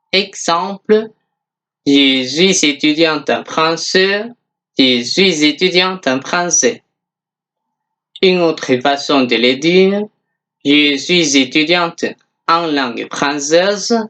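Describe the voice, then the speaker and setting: A language teacher giving a scripted beginner lesson in a deliberate, instructional manner, repeating example phrases. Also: medium pitch, a bit bright.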